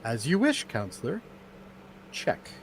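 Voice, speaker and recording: A man's voice: medium pitch, wildly swooping pitch, takes it slowly, creaky, slight background noise, full character voice. A man playing a courteous, analytical android officer in an amateur radio drama, speaking in formal, precise phrases. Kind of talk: amateur radio theatre reenactment.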